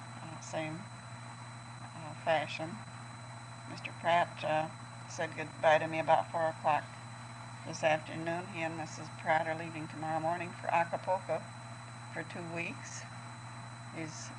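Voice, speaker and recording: An older American woman speaks as a grandmother recording a tape letter at home for her family. Her delivery is homely and meandering, with many hesitant pauses. The old reel-to-reel recording has clearly audible noise. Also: on the low side. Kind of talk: rambling personal audio letter.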